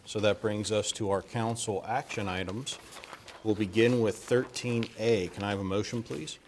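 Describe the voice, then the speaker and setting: A man chairing a council meeting, running through the agenda briskly. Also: on the low side, steady tone, slight background noise. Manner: brisk, procedural.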